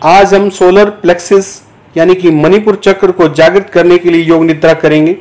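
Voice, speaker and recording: A man giving guided yoga nidra instruction as a teacher, in measured, deliberate short phrases with pauses between them. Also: high voice, steady tone, a little mellow. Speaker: yoga teacher guiding a meditation.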